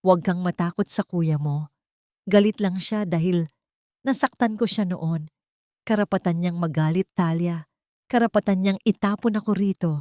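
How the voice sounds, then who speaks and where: A woman's voice: lowish voice, normal speed, dark tone, no room sound, clean studio sound, natural voice. Lady doing a weak sorrowful mom, middle-aged and sick, pleading, self-sacrificing, audio drama style. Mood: resigned.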